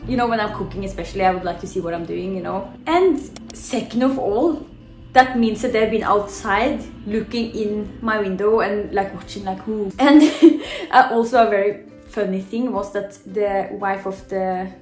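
A young woman with a Norwegian accent tells a story to her camera from a living room. Her pitch sits at a middling level, and her talk is loose and chatty, full of fillers and small laughs. The room gives her voice a noticeable echo, with faint music underneath. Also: normal speed. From Norway. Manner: chatty, laughing often, many "you know" fillers. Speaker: vlogger.

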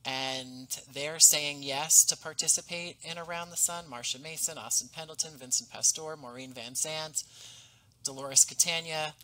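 A man in his thirties, a podcast guest, speaking in a measured, articulate way over a headset mic on a video call. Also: fairly high pitch, fairly even intonation, pushed and bright, poor audio. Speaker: podcast guest, audio drama writer. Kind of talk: casual interview talk. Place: home room with painting behind.

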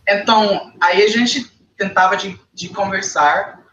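A man's voice: high voice, a little slow, highs cut off, background noise. A young man from Costa Rica, a native Spanish speaker with a Costa Rican accent, answering interview questions in learner Portuguese, hesitant and repeating words, often adding "sabe?". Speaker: guest. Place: small indoor living room. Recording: echoey room.

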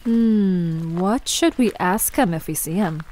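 A woman's voice: lowish voice, slow, darkish tone, a little hiss or hum. A woman playing a curious, sensible female adventurer in an audio drama, speaking in an earnest, conversational way. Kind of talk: audio drama acting, natural dialogue.

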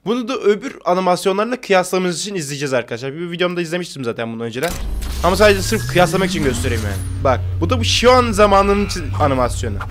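Higher-voiced young man reacting over music. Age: 20s. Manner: slangy, jokey chat. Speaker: reaction video host. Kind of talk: casual reaction commentary. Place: small indoor room with brick-patterned wall.

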